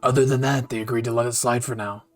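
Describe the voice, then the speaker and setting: A man's voice: medium pitch, level tone, quick pace, faint music behind, lightly acted. A man voicing a stern, blunt male captain who speaks curtly and dryly. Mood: flat. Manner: curt and dry.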